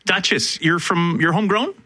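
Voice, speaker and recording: A man's voice: high-pitched, normal speed, no room sound. A man hosting a radio news hour and interviewing guests in an upbeat, joking way. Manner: upbeat, jokey, animated questioning. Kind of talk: broadcast news and interview chat.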